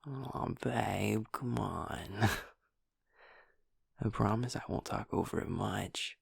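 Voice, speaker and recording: A man voices a happy-go-lucky young werewolf boyfriend in an audio roleplay, speaking in a deep, unhurried murmur. The voice is very husky and breathy, with a heavy gravelly fry. He half-whispers earnestly and affectionately to his partner. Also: dry recording, clean studio sound, full character voice. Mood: tender, affectionate.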